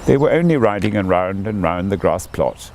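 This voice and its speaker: Expressive male storyteller with lively pitch. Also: moderate pace, slight background noise. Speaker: audiobook reader. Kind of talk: scripted storybook narration.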